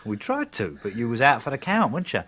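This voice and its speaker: A blinded working-class Englishman in a radio drama. He is chatty and colloquial, keeping up a chirpy front with dry, morbid humour. His pitch moves around in a lively way. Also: fast. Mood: matter-of-fact.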